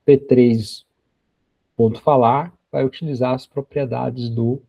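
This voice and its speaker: A man's voice: medium pitch, fairly even intonation, slow, vocal fry, no room sound. A man in his forties teaching a programming class, explaining each step patiently with frequent tag questions. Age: 40s. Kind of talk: screen-recorded coding lesson. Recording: dry, dull lo-fi sound.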